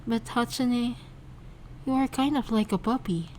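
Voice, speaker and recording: A woman voicing a composed, affectionate young woman who teases gently. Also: fairly high pitch, measured pace, a little hiss or hum, lightly acted. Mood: teasing.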